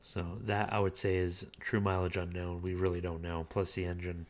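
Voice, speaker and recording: Low-voiced man casually reviewing a car. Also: moderate pace. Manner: relaxed, reads listing aloud with filler uhs. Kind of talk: casual screen-recorded commentary.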